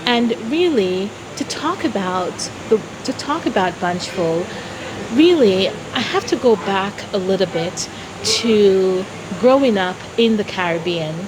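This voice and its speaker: A woman from Jamaica telling personal stories as an interview guest, in a deliberate, expressive way. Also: medium pitch, background noise. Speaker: interview guest, founder of a philanthropy organization.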